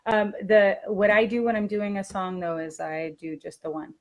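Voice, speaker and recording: A woman's voice: mid-range voice, moderate pace, muffled recording. A woman presenting a teacher-training webinar in an informal, conversational way, explaining practical classroom methods.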